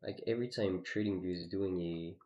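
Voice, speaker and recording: A man's voice: sits low, fairly quick, mellow, muffled recording. A man streaming live, casually walking through his trades on a chart, with lots of "you know" and "okay". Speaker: trading streamer.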